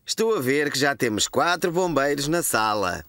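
A man's voice: mid-range voice, animated, normal speed, fairly bright tone, dry recording. A man with a European Portuguese accent playing a friendly, encouraging fire chief in a children's cartoon dub. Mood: friendly, instructive. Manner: cheerful, encouraging, explanatory.

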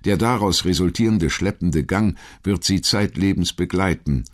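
Man, extremely deep voice, documentary narrator, scripted biography, measured formal storytelling.

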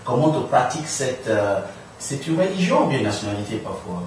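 A middle-aged man hosting an informal radio interview, feeling his way through sentences with halting phrasing. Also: medium pitch, big pitch swings, darkish tone. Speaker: radio talk show host. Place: small radio studio room, indoors. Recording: very echoey room, distant, faint noise.

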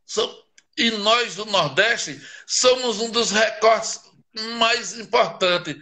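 A man with a Northeastern Brazilian accent speaks in a high, bright voice, with a slow and deliberate pace. He is a guest in a live interview over a video stream. He talks with passion and heavy emphasis and asks pointed rhetorical questions. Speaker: guest writer.

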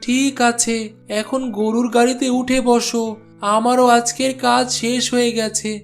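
A man's voice: very high-pitched, level tone, a little slow, creaky, heavily acted. A man voicing a kindly village cart driver in a cartoon, speaking in a reassuring, neighbourly way. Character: helpful village bullock-cart porter, kindly male friend. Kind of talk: Bengali cartoon dialogue. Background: soft music.

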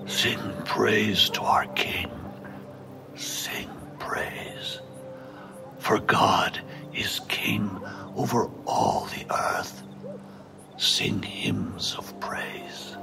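A man giving a dramatic, reverent psalm reading in a breathy whisper. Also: lowish voice. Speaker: scripture reader. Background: music.

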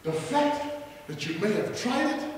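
A middle-aged South African man preaching a sermon from a church stage, rising to loud calls and prompting the congregation to answer. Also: very high voice, sing-song, a little slow. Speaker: pastor. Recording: echoey hall from far off.

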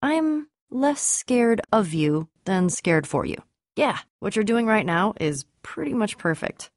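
Young female game adventurer, American accent, tough, sarcastic, self-assured, very expressive pitch, dry close sound. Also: no echo. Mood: businesslike, bossy. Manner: wry, brisk, confident. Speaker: video game voice actor. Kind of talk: animated adventure game dialogue.

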